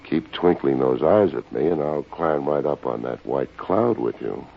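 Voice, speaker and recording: Low-voiced wisecracking private eye. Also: male voice, expressive, a little slow, gravelly, slight background noise, full character voice. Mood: flirtatious. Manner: wry, slangy, wisecracking. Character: wisecracking hardboiled male private detective, cocky and flirtatious. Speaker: private investigator and narrator. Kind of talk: old-time radio detective acting and narration. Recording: muffled old radio recording with music.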